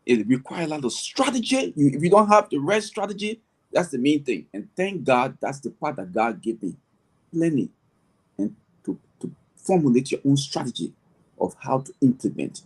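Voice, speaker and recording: A man's voice: medium pitch, very animated, moderate pace, darkish tone, breathy edge. A middle-aged man with a Liberian accent, a farmer and agribusiness owner being interviewed, explaining earnestly at length with frequent restarts. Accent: Liberian English. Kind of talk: conversational interview answer.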